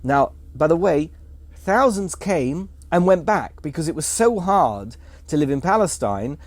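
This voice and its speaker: A man's voice: on the high side, big pitch swings, measured pace, dry recording. A man appearing as a guest on a podcast, explaining history fluently in a lecturing way with some fillers. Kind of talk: casual explanatory podcast talk.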